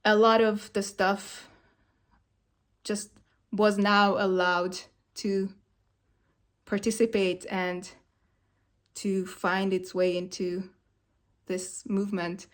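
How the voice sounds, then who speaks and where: Woman, Hungarian native speaker, unhurried, hesitant, reflective monologue. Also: medium pitch, flat delivery. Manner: hesitant, many fillers, gentle and searching. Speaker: vlogger sharing movement practice.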